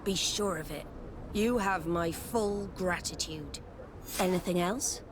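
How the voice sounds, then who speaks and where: A woman's voice: on the low side, slow pace. A woman playing a proud, resolute female admiral in a video game, with a firm, formal, commanding manner. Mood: grateful, formal. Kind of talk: video game cutscene acting. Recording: noisy outdoor ambience behind the voice.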